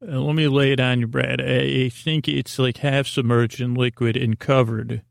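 A male podcast host improvises a silly bedtime monologue in a voice full of creak and fry. He wanders into tangents, repeats himself and talks as if to an imagined listener. The sound is very dry, with no room around the voice. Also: medium pitch, little pitch movement, moderate pace, no room sound. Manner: meandering, repetitive, trails off into tangents.

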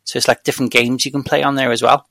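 Gravelly bright-voiced Welsh man. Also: mid-range voice, flat delivery, rapid-fire, no room sound. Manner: chatty, self-deprecating, laughs often. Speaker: podcast co-host. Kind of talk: casual chat.

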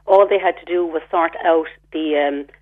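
A woman's voice: low voice, fairly even intonation, moderate pace. An Irish woman calling in to a radio show, describing her complaint in detail and with a sense of grievance. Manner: aggrieved, detailed, retells her exchanges. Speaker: caller. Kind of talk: phone-in radio call. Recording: phone line.

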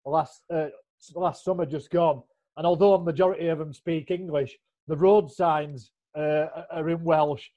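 Man, podcast host, rambling chatty questions, slightly high voice, muffled lo-fi sound. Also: steady tone, moderate pace. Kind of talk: casual interview. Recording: dull, lo-fi sound.

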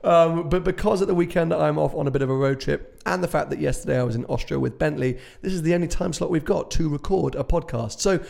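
A sick man hosts a chatty podcast from an office, his voice rough, hoarse and gravelly. He sits slightly high in pitch for a man and jokes at his own expense. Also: fast, few pauses, a little mellow. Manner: chatty, self-mocking, laughs often. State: ill, hoarse. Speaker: podcast host. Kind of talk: casual podcast chat. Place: unfinished office showroom, indoors.

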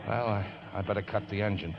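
An actor playing a warm-hearted, plucky middle-aged riverboat man with folksy working-class speech, in 1950s radio drama style. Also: on the low side, fairly even intonation, fast, vocal fry. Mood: grave. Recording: dull old radio recording with music.

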